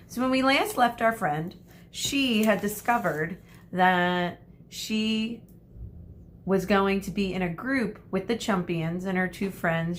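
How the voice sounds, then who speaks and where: A woman's voice: medium pitch, a bit bright, slight background noise. A woman schoolteacher talking chattily and encouragingly to her pupils as she leads a storybook read-aloud. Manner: encouraging, addresses children directly. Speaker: primary school teacher.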